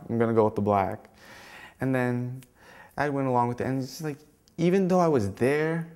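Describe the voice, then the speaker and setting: A young man from the program speaking candidly, searching for words. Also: lowish voice. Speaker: program participant.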